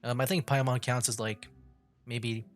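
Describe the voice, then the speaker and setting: Male gaming streamer, casual chuckly live commentary, mid-pitched voice, soft music behind. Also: fairly even intonation, moderate pace. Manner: chuckles often while talking. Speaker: streamer and gamer. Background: faint game music.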